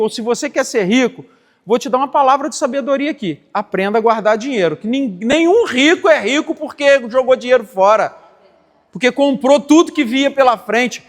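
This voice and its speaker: High-voiced man preaching in a reverberant church. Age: 40s. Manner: emphatic, repeats phrases for stress. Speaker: pastor. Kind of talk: church teaching sermon. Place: church sanctuary.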